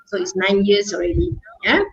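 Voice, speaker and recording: A woman's voice: mid-range voice, normal speed. A woman moderating an online webinar, peppering her talk with fillers and checks like "yeah?" and "right?". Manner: filler-heavy, often ends with "yeah?" and "right?". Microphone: video call. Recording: muffled online call audio.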